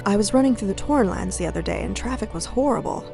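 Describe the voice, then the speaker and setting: A woman voicing a spirited female elf in a video game, expressive and wry. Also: medium pitch, fast talker, vocal fry, loud music behind the voice. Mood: joking. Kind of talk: video game character acting.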